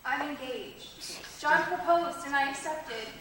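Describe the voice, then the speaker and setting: A woman on stage playing a gentle, devoted young sister, speaking earnestly and sincerely. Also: sits high, slow pace. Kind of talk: stage play acting. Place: school theatre stage, recorded from the audience. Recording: very echoey hall, distant mic, noisy.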